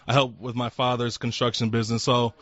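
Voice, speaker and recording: Young man in his twenties, medium pitch, polite, casual interview guest, dry slightly muffled recording. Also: little pitch movement, brisk, a bit bright, dry recording. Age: 20s. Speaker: interview guest, customer at a shooting range. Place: inside a sport shop.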